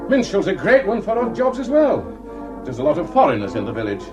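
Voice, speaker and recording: Lively, high-voiced police assistant. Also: male voice, a little fast, dull audio. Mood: gossipy. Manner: colloquial, animated. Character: chatty, down-to-earth male police assistant. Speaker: actor. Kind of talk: radio drama acting. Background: music.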